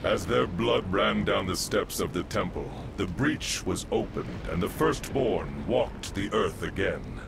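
A man's voice: low-pitched, animated, measured pace, gravelly, few pauses, noisy background, heavily acted. A man playing an ancient priest spirit in a video game cutscene, solemn and grave, speaking in formal oratory.

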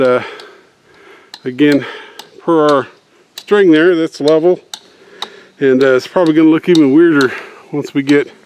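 A man's voice: a little high, a little mellow, a little hiss or hum. A middle-aged man casually explaining his backyard greenhouse build outdoors, rambling and plain-spoken with lots of fillers, recorded on a handheld camera. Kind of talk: casual how-to vlog narration.

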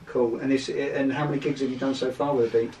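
A man's voice: medium pitch, flat delivery, fast, distant mic, slight background noise. A middle-aged man with a southern English accent, casually chatting as he interviews guests. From High Wycombe, England. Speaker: interviewer, host. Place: small room, indoors. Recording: echoey room, off-mic, loud music behind.

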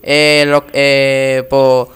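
A man's voice: mid-range voice, completely flat delivery, extremely slow, fairly bright tone. A man with a Venezuelan accent making a prank phone call in casual talk, hesitant and repeating his words. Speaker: prank caller.

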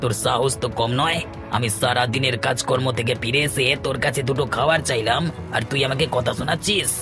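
A man's voice: medium pitch, fairly even intonation, fast talker, lightly acted. A man playing an abusive drunken husband in a melodramatic cartoon, scolding coarsely and aggressively. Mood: angry defiance. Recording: music behind the voice.

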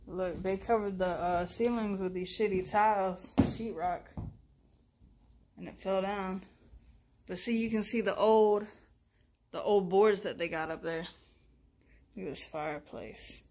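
A woman's voice: mid-range voice, steady tone, measured pace, long pauses, a little mellow. A woman walking through an abandoned house with a handheld camera, talking casually and swearing as she looks around. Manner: chatty, swears freely, thinks aloud. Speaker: urban explorer vlogger. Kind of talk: casual walkthrough narration. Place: abandoned wooden house, indoors and on porch.

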